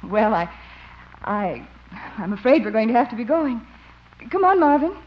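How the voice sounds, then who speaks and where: A woman's voice: fairly high pitch, big pitch swings, normal speed. A woman playing a polite, effusive visiting relative, courteous and slightly hesitant, in 1950s radio acting style. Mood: gracious, apologetic. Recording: dull, faintly noisy 1950s radio recording.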